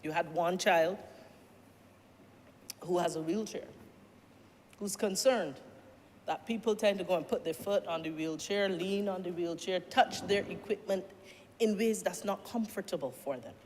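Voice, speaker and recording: A middle-aged government minister with a Barbadian accent gives a formal, deliberate parliamentary speech, often addressing the chair and building points through repeated questions. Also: mid-range voice, darkish tone. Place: parliament chamber. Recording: long-reverb chamber with faint noise.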